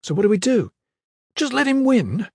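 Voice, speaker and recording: A man's voice: very high-pitched, huge pitch swings, normal speed, slightly rough, dry recording, studio quality, voice pushed up, heavily acted. A man voicing a furious, indignant young man in a fantasy audiobook, speaking in an agitated, insistent way. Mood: incredulous.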